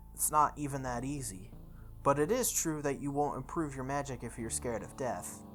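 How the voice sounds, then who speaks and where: Reader narrating a visual novel over music. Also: female voice, very deep voice. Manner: stumbles and re-reads lines. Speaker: game playthrough host. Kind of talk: reading visual novel text aloud. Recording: music behind the voice.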